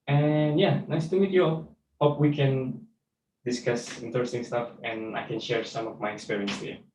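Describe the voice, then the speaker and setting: A man's voice: fairly even intonation, moderate pace, darkish tone. A young man from Indonesia, a backend developer answering interview questions with many hums and fillers. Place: office room. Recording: echoey room, distant mic, faint rumble.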